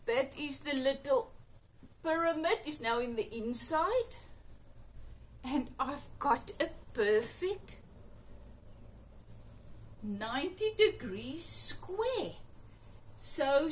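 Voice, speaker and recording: A woman in her fifties with an Afrikaans accent, chatting her way through a sewing tutorial in her home sewing room, upbeat and full of little exclamations. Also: sits high. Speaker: sewing channel host. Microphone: camera on a table, a few feet off.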